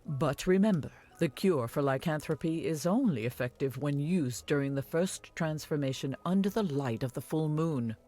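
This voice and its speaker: An actress playing an older village wise woman in a video game, caring and earnest, giving measured instructions. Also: deep voice, expressive, dark tone. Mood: earnest, urgent. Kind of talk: scripted video game dialogue. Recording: music behind the voice.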